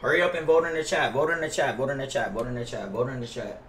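A man's voice: medium pitch, fast, a bit bright, roomy sound, recorded from a distance, a little hiss or hum. A young American man in his twenties chatting casually on a gaming livestream, profane, slangy and repetitive in his griping. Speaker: game streamer. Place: indoor home gaming room. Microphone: headset mic.

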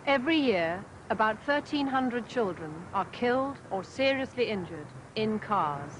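A woman's voice: fairly high pitch, expressive, a little slow. A woman giving factual narration for an old road safety film. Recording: very noisy old film soundtrack.

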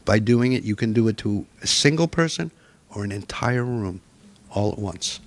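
A man's voice: lowish voice, expressive, moderate pace. A middle-aged man presenting a workshop in a hotel meeting room, coaching people step by step.

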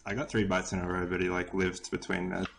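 A man's voice: low-pitched, flat delivery, fast talker, gravelly, fairly bright tone, soft background music. A man talking casually with teammates over game voice chat. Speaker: gamer on a team. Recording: noisy voice chat, keyboard clicks. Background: keyboard clicks and typing.

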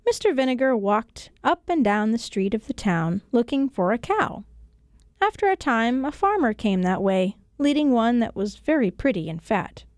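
A woman's voice: fairly high pitch, normal speed, no echo. A woman storyteller narrating a scripted children's tale expressively, recorded in a studio. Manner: expressive, acts out dialogue. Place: radio studio.

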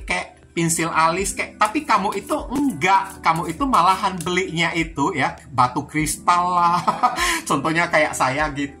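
A woman's voice: lowish voice, bright, forceful tone. A woman from Indonesia giving an informal tarot reading, chatty and repetitive, often addressing her viewers directly. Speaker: tarot reader. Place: indoors at a card table. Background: music.

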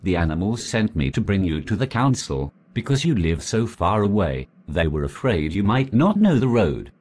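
A man's voice: on the low side, very animated, moderate pace, darkish tone, husky. A man voicing a sly male rabbit, coaxing another animal with a trick in a storybook tale.

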